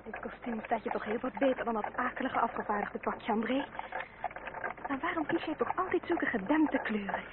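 A woman's voice: a little high, normal speed, close to an everyday voice. An actress playing a genteel young lady, coy yet spirited and a little hesitant, in a radio play. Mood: light, curious. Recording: very noisy, tinny old radio recording.

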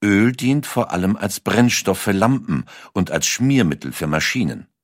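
A man's voice: on the low side, very animated, moderate pace, dry recording, clean studio sound. A man reads scripted documentary narration as an audiobook narrator.

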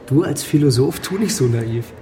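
An actor playing a cynical, worldly East German colleague in a radio drama, teasing and conspiratorial. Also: male voice, medium pitch, expressive, normal speed, warm tone, a little hiss or hum, lightly acted. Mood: sardonic, teasing. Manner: sardonic, conspiratorial.

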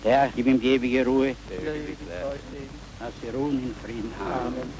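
Male actor as praying priest, solemn, incantatory, liturgical recitation, medium pitch, radio play. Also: steady tone, normal speed, crowd noise, close to an everyday voice, poor audio.

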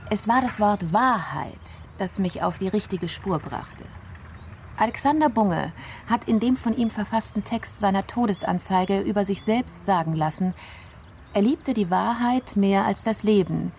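An actress plays a female investigator in a radio crime drama. Her delivery is measured and methodical, at a middling pitch with a gravelly fry. Faint noise sits behind the voice. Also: a little mellow, lightly acted. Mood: measured, explanatory. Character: methodical female investigator explaining how a murder case unfolded. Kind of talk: radio play acting.

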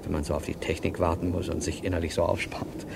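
A man's voice: deep voice, flat delivery, fast talker, gravelly, background noise. An actor playing a bossy, impatient male film director giving insistent instructions in a naturalistic radio drama. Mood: coaxing.

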